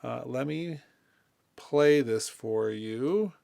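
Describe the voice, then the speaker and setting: A man presenting a music production tutorial in a casual, informal way, thinking aloud as he demonstrates software. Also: mid-range voice, drawn-out words, vocal fry, no echo.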